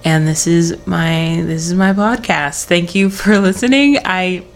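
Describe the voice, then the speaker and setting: A woman's voice: fairly low pitch, unhurried, soft background music. A young woman hosting her own podcast, chatting casually in a rambling, giggly way while losing her voice. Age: young adult. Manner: rambling, self-interrupting, giggly. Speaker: podcast host. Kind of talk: casual solo podcast chat. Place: at home, early morning.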